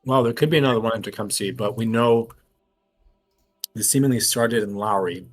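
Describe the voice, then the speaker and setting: Man, lowish husky voice, analytical, reasons at length, casual game-table talk. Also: fairly quick, soft background music. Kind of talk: casual discussion of a mystery in a game.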